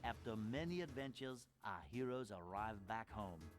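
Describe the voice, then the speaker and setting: A man plays a pompous cartoon storyteller narrating like a melodramatic storybook. His pitch rises and falls theatrically over a music bed. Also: lively intonation, moderate pace, lightly acted. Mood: grave, dramatic. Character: grandiose, theatrical male storyteller, fussily dramatic. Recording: music behind the voice.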